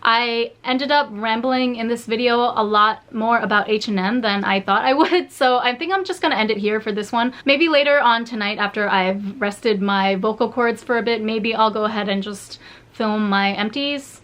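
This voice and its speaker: A woman vlogging a casual beauty haul from home, chatty and rambling with many fillers and restarts, her voice slightly hoarse. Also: on the high side, moderate pace, fairly bright tone.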